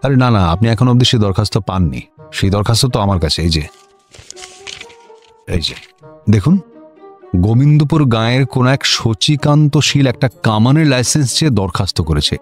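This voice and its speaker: A man's voice: medium pitch, lively intonation, moderate pace, pauses often, lightly acted. Actor as officious male magistrate, comic radio drama; mid-range voice, curt and exasperated, music underneath. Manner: curt, questioning, authoritative. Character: impatient, baffled male district magistrate, officious boss.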